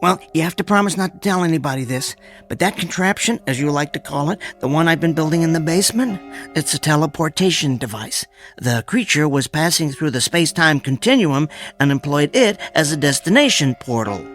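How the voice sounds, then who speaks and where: A mild, eccentric man of science, played by an actor in a radio drama. He is hesitant and earnest, stammering as he explains, with lively swings of pitch and faint music behind him. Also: brisk. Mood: earnest, pleading. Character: eccentric, mild-mannered male amateur scientist, henpecked husband.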